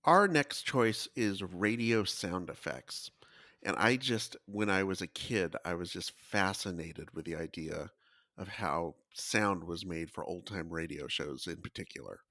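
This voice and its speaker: A man's voice: deep voice, a little slow, sharp tone, no room sound, clean studio sound. A man co-hosting a podcast, speaking casually and informatively. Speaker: podcast co-host.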